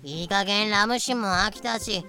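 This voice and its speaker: Woman as a brash, boozy young lady captain, bright voice. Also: medium pitch, moderate pace, soft background music. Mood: bored, breezy. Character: brash, boozy young daughter who captains a trading ship. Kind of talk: fan-made anime-style voice drama.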